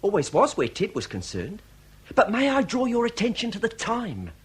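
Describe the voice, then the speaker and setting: An actor playing an earnest, deferential young police assistant in a Victorian comedy radio drama, speaking formally with a British accent. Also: male voice, sits high, wildly swooping pitch, moderate pace. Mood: patient, deferential. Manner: formal, respectful, dutiful.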